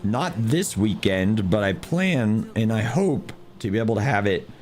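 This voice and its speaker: A man streaming a driving game with a hoarse, unusually deep voice from losing it, talking quietly and chatting with viewers. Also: animated, a little slow. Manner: talks quietly to spare his voice; chats to viewers, chuckles at himself. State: hoarse, lost voice. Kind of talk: casual livestream commentary while playing.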